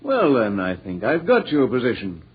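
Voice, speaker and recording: On a muffled vintage radio recording, a genial, hospitable husband. His delivery is animated and stagey, with a husky edge to the voice. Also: mid-range voice, moderate pace, full character voice. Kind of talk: 1940s radio acting.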